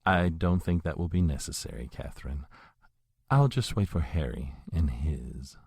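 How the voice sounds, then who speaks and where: An actor playing an old, courtly and menacing male vampire in an audio drama, speaking with a suave, husky voice. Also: extremely low pitch, moderate pace, no echo, clean studio sound, exaggerated voice. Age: older. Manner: suave, flattering, then threatening.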